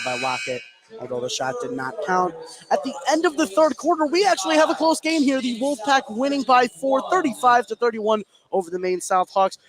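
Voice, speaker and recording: An American man doing live play-by-play basketball commentary on the radio, with excited calls of the action. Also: high voice. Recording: live game broadcast with clear background noise. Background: sports venue noise.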